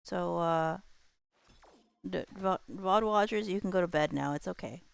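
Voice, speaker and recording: A woman's voice: lowish voice, unhurried, vocal fry, clear, resonant tone, dry recording. A woman livestreaming a game, chatting casually in a playful, silly manner. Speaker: streamer. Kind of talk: casual livestream chat while gaming.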